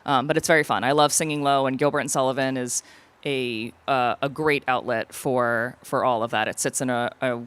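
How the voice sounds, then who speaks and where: A woman opera singer with a low contralto voice, explaining things casually as an interview guest. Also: steady tone, moderate pace, a bit bright, smooth.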